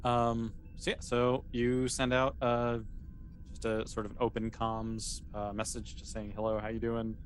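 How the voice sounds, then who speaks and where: A man's voice: medium pitch, completely flat delivery, unhurried, slight background noise. A man running a tabletop game as game master, narrating in a casual, easygoing, improvised way.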